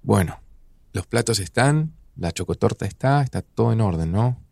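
A man's voice: fairly low pitch, animated, moderate pace, vocal fry, noisy background. An actor with an Argentine accent playing an affectionate father who speaks in a casual, tender, reassuring way. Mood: warm. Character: affectionate father in his home.

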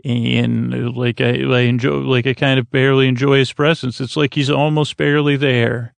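A man with a gravelly, creaky voice at a middling pitch, recorded close and dry. He hosts a bedtime podcast, wandering through his thoughts with stammers, repeated words and sentences left hanging. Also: little pitch movement, no room sound. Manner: meandering, halting, stammering, trails off mid-thought; acts out imagined back-and-forth dialogue. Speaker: podcast host. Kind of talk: rambling bedtime monologue.

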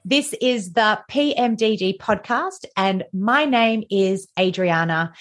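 Female podcast host, higher voice, friendly and chatty. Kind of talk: podcast interview.

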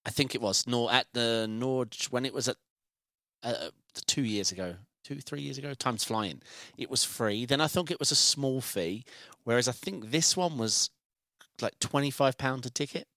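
A man's voice: mid-range voice, moderate pace, bright, forceful tone, no echo, clean studio sound. A British man co-hosting a racing podcast, chatty and rambling, often correcting himself mid-sentence. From Britain. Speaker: podcast co-host. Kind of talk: casual podcast chat. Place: studio.

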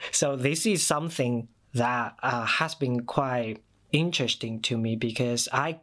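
A man in his thirties from China, Mandarin native, with a Chinese accent, telling personal stories as a podcast guest with many pauses and fillers. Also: mid-range voice. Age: 30s. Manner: thoughtful, many "uh" fillers and restarts. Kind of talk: personal storytelling.

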